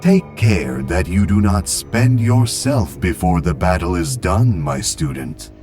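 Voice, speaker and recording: Male actor as cryptic serene monk, dark soft tone, lilting range, calm, fantasy game. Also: low voice, full character voice. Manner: calm, measured, formal. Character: serene, cryptic monk master, otherworldly and changed. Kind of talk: fan-dub game character acting. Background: music.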